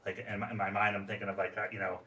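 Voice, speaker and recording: Man, American accent, lower pitch, rambling podcast interviewer, echoey room, casual. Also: little pitch movement, very fast, pushed and bright. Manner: rambling, acts out imagined quotes. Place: podcast studio, indoors. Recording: roomy echo, distant mic, faint noise.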